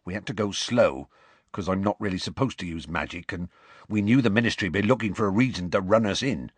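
A big, gruff half-giant groundskeeper, as a male reader puts him on, low and husky, rumbling along in a rustic dialect with lively swings in tone. The recording is dry and close. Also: a little fast, no echo. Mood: storytelling.

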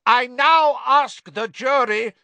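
A man voicing a stern, hateful older judge in a storybook, loud and formal, declaiming in a bellow. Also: mid-range voice, sing-song, a little slow, no echo, pitched above the performer's own voice. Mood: bellowing, accusing.

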